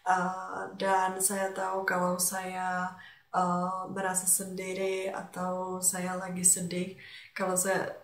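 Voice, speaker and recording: A woman's voice: fairly low pitch, monotone, slow pace. A Czech woman with a Czech accent talking casually to viewers as a vlogger, often hesitating as she searches for words in a language that is not her native one. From Czech Republic. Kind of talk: casual personal talk to viewers. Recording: echoey, roomy sound.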